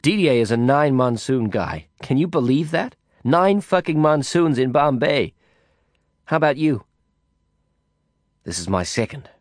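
A man's voice: medium pitch, dry recording, studio quality, pitched above the performer's own voice, natural voice. A man voicing a brash, hard-drinking woman in an audiobook, blunt, profane and sneering. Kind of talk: audiobook character voice.

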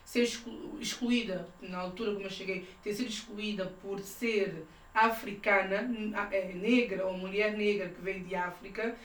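A woman's voice: mid-range voice, fairly even intonation, moderate pace, fairly bright tone. A woman from São Tomé hosting a podcast in a casual, conversational interview style. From São Tomé, moved to Portugal at ten. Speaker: podcast host. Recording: echoey room, distant mic, faint noise.

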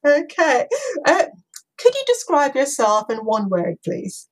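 A woman hosting an interview podcast, encouraging and quick to laugh. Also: high-pitched, huge pitch swings. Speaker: podcast host.

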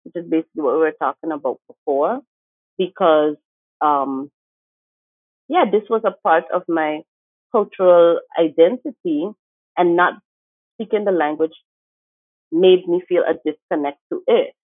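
A woman's voice: fairly low pitch, a little slow, long pauses, no echo. A woman from St. Martin talks as an interview guest, earnest and encouraging, pressing her points with emphasis. Her voice comes through a thin, phone-like line on a remote call, dry with no room around it. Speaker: guest, teacher. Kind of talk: conversational interview.

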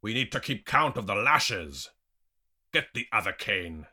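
Storybook villain: cruel male captor, acted by a male narrator, sneering and commanding, sharp, swooping delivery. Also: on the low side, big pitch swings, a little slow, slightly rough, full character voice. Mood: menacing. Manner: snarling, taunting.

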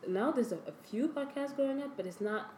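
A woman's voice: high-pitched, mellow. A young woman chatting emphatically as a podcast co-host, talking freely about her views. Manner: chatty, emphatic, quick to laugh. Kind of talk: casual chat. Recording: echoey room with faint noise.

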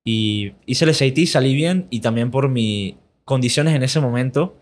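A young man from Panama with a Panamanian Spanish accent, a guest in a casual podcast interview, relaxed and quick to laugh. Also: medium pitch. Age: young adult. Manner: relaxed, laughs often, repeats himself.

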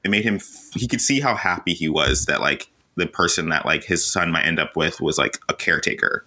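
A man's voice: deep voice, a little fast, bright, forceful tone, rough recording. A man hosting a casual recap podcast, talking in a rambling, emphatic way and circling back over his points. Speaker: podcast host.

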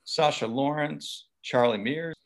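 A man's voice: on the high side, takes it slowly, poor audio. A man hosting a video call, introducing his guests hesitantly with many ums and uhs. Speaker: host. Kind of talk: casual chat on a call.

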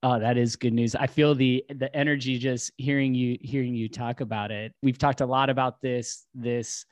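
A man's voice: mid-range voice, flat delivery, moderate pace, no echo. Friendly male podcast host, dry sound. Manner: friendly, filler-heavy, frequent restarts. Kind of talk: casual podcast chat.

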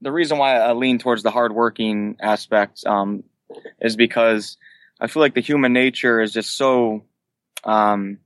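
A man's voice: medium pitch, steady tone, a little slow, no echo. A man in his late twenties, a band vocalist, answering casually as an interview guest. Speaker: guest, band vocalist who sings and screams.

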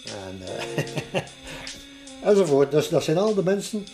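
Older male interviewee, Flemish accent, lively anecdotal delivery, faint music underneath. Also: on the high side, measured pace. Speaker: interview guest recounting his past. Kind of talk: informal spoken reminiscence.

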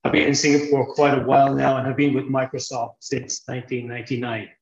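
A middle-aged man with an Irish accent lays out his points in a measured, explanatory way, now and then restarting a phrase. His voice sits in a roomy, echoey space. The recording sounds dull and lo-fi. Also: mid-range voice, flat delivery, creaky. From Ireland. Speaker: guest, technology executive. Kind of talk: podcast interview answers.

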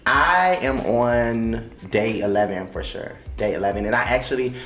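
A man's voice: medium pitch, normal speed, fairly bright tone, roomy sound, soft background music. A young man from Miami hosting a casual podcast, chatty and gossipy, swearing freely. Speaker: podcast host.

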